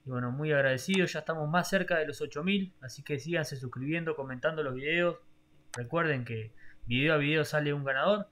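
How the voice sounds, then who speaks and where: A man's voice: on the high side, a little fast, a bit bright. A young man hosts a channel giveaway in a grateful, upbeat way, full of loose filler phrases. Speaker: YouTube channel host. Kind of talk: casual giveaway announcement.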